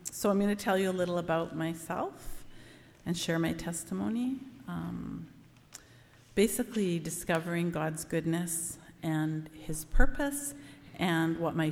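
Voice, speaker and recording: A woman's voice: fairly low pitch, vocal fry, darkish tone, slight background noise. Woman, middle-aged, church testimony, casual and reflective, lots of ums, long reverb. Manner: conversational, frequent ums, reflective. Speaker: church member giving testimony. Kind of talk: personal testimony.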